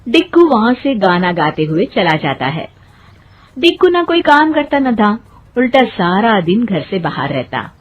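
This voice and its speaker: A woman's voice: medium pitch, very animated, unhurried, muffled recording. A woman narrating a scripted cartoon story as its storyteller.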